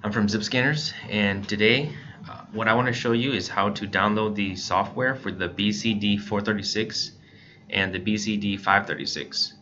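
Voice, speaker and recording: A man narrates a casual how-to tutorial in a fairly low voice. His tone has a bright, forceful edge. The recording is somewhat dull and lo-fi. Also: steady tone, moderate pace. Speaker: software tutorial presenter. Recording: dull lo-fi mic, background noise.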